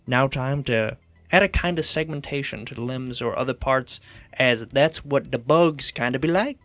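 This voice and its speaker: A man's voice: medium pitch, normal speed, a bit bright, no echo. A man narrating his own creative tutorial video casually, with playful, theatrical, comedic delivery. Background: background music.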